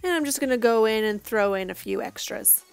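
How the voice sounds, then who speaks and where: A young woman, hoarse from losing her voice, giving a casual step-by-step makeup tutorial voice-over. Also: fairly high pitch, animated, fairly quick, soft background music. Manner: casual, chatty, reassuring.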